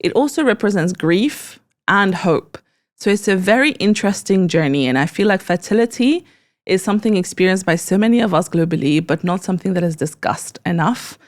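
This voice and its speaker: A woman's voice: mid-range voice, lively intonation, a little fast. A woman hosting a conversational interview in an earnest, effusive manner. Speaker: podcast host.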